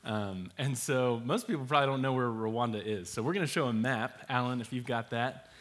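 Young male host, relaxed and chatty while presenting slides. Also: mid-range voice, fairly quick. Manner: relaxed, many fillers, laughs easily.

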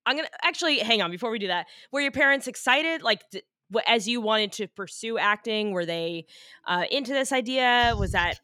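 Woman hosting a podcast, bright sharp voice, dry close mic, teasing questions. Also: a little high, lively intonation, a little fast, dry recording. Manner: curious, playful questioning. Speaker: podcast host. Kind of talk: casual interview chat.

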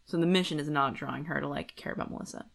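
A woman's voice: low-pitched, rapid-fire, gravelly. A woman co-hosting a podcast, discussing a book casually and reasoning her points through in a conversational way. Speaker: podcast co-host.

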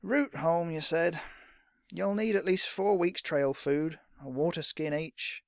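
A man's voice: on the high side, takes it slowly, gravelly, no echo, studio quality, pitched above the performer's own voice. A man voicing a fat, suspicious village shopkeeper in a storybook, brusque and haughty. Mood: resigned.

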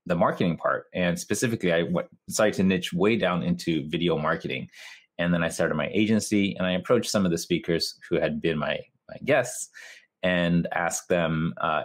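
A man from Japan with a North American accent, answering casually and explaining as an interview guest. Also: low voice. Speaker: interview guest, video marketer.

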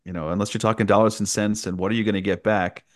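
Deep-voiced man talking sports. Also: fairly even intonation, fast. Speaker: sports play-by-play broadcaster, podcast guest. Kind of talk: conversational sports analysis.

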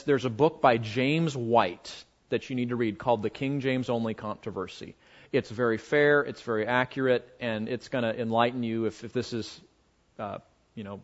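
An American man giving an informal Bible lesson to a group, talking conversationally and haltingly, with frequent asides. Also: mid-range voice. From United States. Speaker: Bible class teacher. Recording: dull, muffled lo-fi audio.

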